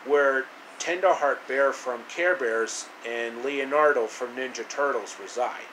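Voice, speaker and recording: A man casually retelling a story in a halting, informal way. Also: mid-range voice, low-quality recording. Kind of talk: casual retelling of a fairy-tale parody. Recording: steady air-conditioning noise.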